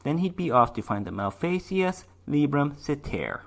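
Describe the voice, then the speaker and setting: A man's voice: on the high side, big pitch swings, normal speed, vocal fry. A man reading a short story aloud as dramatic scripted narration, in the role of storyteller.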